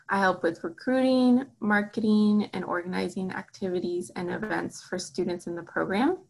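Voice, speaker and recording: A woman's voice: medium pitch, creaky, low-quality recording. A young woman from Miami, a university program coordinator, answering interview questions in a friendly, conversational way with some ums. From Miami, Florida.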